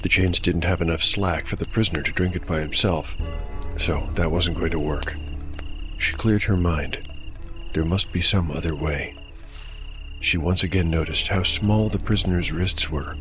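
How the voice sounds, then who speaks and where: A man with a deep voice tells a dark fantasy tale as host and narrator of a tabletop audiodrama. His delivery is scripted, measured and deliberate. Soft music plays behind him.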